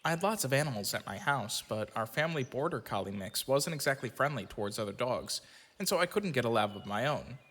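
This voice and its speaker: A man's voice: mid-range voice, lively intonation, fairly quick, a bit bright. A man acting in an audio drama as a reflective storyteller and his shy, self-conscious younger self. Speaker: narrator and lead actor telling his own story. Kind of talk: audio drama narration and acted dialogue.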